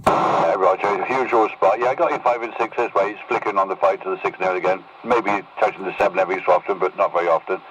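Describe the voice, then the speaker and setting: A man's voice: sits low, fairly even intonation, fast. A man chatting casually over CB radio, giving friendly signal reports as an operator. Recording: muffled distant radio with clear noise.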